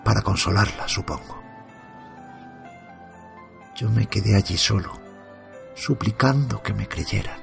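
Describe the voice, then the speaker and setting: Un hombre interpreta a un padre atormentado que narra un relato de terror en primera persona, con tono sombrío, medido y dramático. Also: male voice, on the low side, vocal fry, faint music behind. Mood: subdued, gloomy. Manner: somber, measured, dramatic. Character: tormented father haunted by evil, telling his story. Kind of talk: first-person horror narration.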